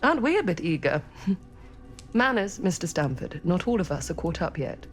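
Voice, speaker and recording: An actress playing a formal, measured, politely menacing hostess and collector in a cinematic video game. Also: female voice, on the low side, sing-song. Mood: coolly commanding. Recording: music under the voice.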